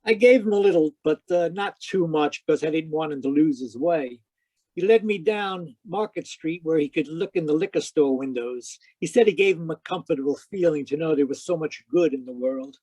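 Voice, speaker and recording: Man acting a wry, high-voiced private eye. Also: moderate pace, no echo, close to an everyday voice. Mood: wry narration. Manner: wry, deadpan. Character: wry hard-boiled private detective, Sam Spade. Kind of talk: old-time radio detective drama and narration. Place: live online performance over video call.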